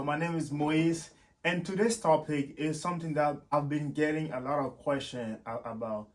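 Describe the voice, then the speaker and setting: Man, casual vlogger. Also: fairly high pitch. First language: French. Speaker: YouTube host and software developer. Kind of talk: casual explanatory vlog talk to viewers.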